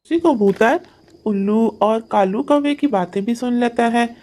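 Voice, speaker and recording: A woman's voice: a little high, measured pace, dark tone. A woman reading a scripted children's story as its narrator. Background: music.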